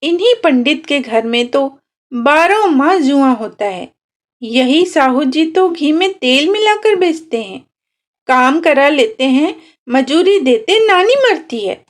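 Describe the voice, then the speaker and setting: A woman's voice: sits high, animated, slow. A woman voicing a poor, oppressed village woman who is defiant and bitterly indignant, in a storybook reading. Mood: bitter indignation. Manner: indignant rhetorical questioning.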